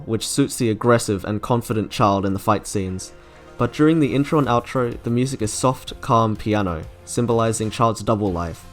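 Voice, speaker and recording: Male video reviewer over faint music. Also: lowish voice. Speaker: video game YouTuber, reviewer. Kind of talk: scripted review narration.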